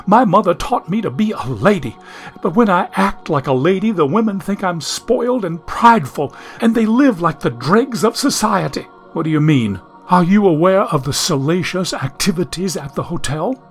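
A man's voice: sits high, expressive, moderate pace, soft background music. A man reading an audiobook, voicing a genteel young woman from the 1870s who is anxious, prim and lost in the modern world. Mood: distressed. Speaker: audiobook narrator.

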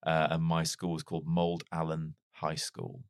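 A very deep male voice with a mild British lilt from a man who grew up in North Wales. He tells stories in a reflective, self-deprecating way. The sound is dry and close, as if recorded on a studio mic. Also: monotone, moderate pace, no echo. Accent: mild British English, not strongly Welsh. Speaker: podcast guest. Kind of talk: casual interview storytelling.

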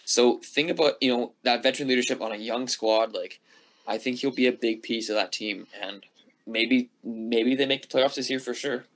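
A man's voice: medium pitch, fairly even intonation, normal speed, fairly bright tone. A man, co-hosting a podcast he created, talking sports in an upbeat, offhand way. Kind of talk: casual sports chat.

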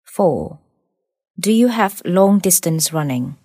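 A woman reading out speaking-test questions as an examiner in a plain, measured way. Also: fairly low pitch, lively intonation, mellow, no echo, studio quality.

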